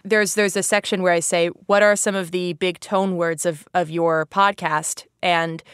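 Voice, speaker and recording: A woman's voice: lowish voice, fairly even intonation, a little slow, clean tone, dry recording, clean studio sound. Female podcast host, informal and inquisitive, casual teaching conversation, very little room sound. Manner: chatty, informal, curious. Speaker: podcast host interviewing a guest. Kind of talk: casual educational interview.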